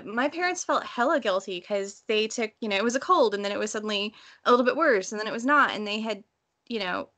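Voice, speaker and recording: A woman talking casually and bluntly in an informal book discussion, telling stories in an animated way. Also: on the high side. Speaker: book read-along panelist. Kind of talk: casual discussion, personal anecdotes.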